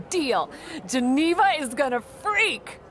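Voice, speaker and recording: A woman's voice: sits high, very animated, slow, fairly bright tone, exaggerated voice. Cocky playful lady wrestler, pun-loving, faint hiss in the back. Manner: playful and confident. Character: confident, punning female wrestling champion.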